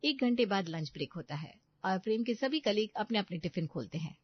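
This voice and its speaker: A woman's voice: medium pitch, animated, brisk, breathy edge, muffled recording. A woman narrating a scripted family story as a storyteller.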